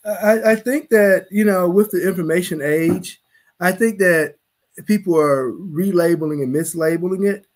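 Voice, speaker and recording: Man, middle-aged doctor, high voice, emphatic, explanatory, webcam call from home office. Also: normal speed. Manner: emphatic, explanatory, gesturing with hands. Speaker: physician panelist. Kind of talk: panel discussion on a live video call. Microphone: video call webcam.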